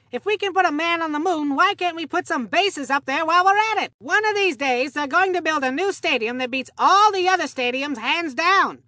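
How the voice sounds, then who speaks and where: High bright old grandpa baseball announcer, folksy n rambly, forgetful, cartoon game style. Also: no echo, clean studio sound. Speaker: sports commentator in a children's baseball game.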